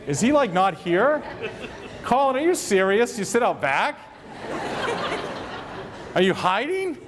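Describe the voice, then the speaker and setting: A man's voice: high-pitched, big pitch swings, takes it slowly, a crowd in the background, background noise. Chatty male superintendent addressing staff. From Maine. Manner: chatty, self-deprecating, joking with the audience. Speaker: school superintendent. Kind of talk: convocation speech to school staff. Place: large hall with a live audience.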